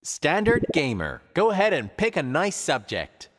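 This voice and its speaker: A man voicing an upbeat cartoon quiz show host in a video game, with a theatrical, drawn-out announcer delivery. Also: on the high side, huge pitch swings, fairly bright tone, exaggerated voice. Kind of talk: scripted game show hosting. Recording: reverberant studio with crowd noise.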